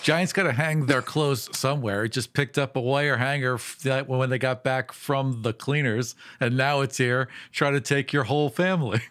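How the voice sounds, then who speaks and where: A man's voice: medium pitch, fairly even intonation, normal speed, few pauses, a bit bright. A man co-hosting a podcast, chatting casually and riffing on jokes. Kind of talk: casual joking chat.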